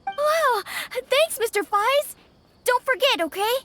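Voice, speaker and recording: A woman voicing an excited little child customer who squeals eagerly. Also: very high voice, takes it slowly, slight background noise, heavily acted.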